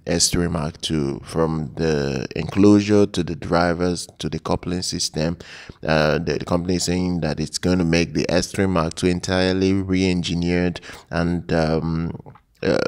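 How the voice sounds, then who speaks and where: Low, flat, creaky-voiced man commenting. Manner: hesitant, halting, repeats phrases. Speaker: product news reviewer. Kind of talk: unscripted product news commentary.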